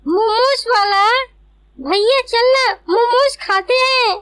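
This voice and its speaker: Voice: very high-pitched, takes it slowly, sharp tone, no echo, heavily acted. A performer voicing a bold, teasing little girl in a cartoon, bossy and coaxing. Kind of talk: cartoon dialogue.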